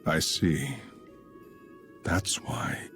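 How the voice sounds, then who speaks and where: Game role: stern, aloof guardian swordsman, very low, slow, formal delivery over faint music. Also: creaky, fairly bright tone, heavily acted. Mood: cold, solemn. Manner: formal, solemn, measured. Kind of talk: video game cutscene acting.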